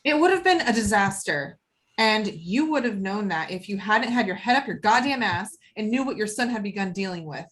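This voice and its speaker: A woman's voice: mid-range voice, expressive, fairly quick, a bit bright, close to an everyday voice. An actress playing an angry, blunt, foul-mouthed woman in a table read of a film script. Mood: bitter, defiant. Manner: combative, blunt.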